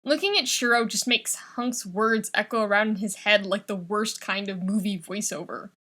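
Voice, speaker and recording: A woman reading a piece of fiction aloud as an expressive scripted narration. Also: mid-range voice, normal speed. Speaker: fan fiction reader.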